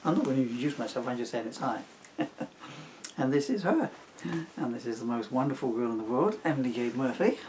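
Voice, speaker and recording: A man's voice: medium pitch, animated, a little fast, darkish tone. A man, a father reading a children's story aloud in a playful, jokey way with chatty asides, recorded with some noise. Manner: jokey, self-teasing. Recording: clear background noise.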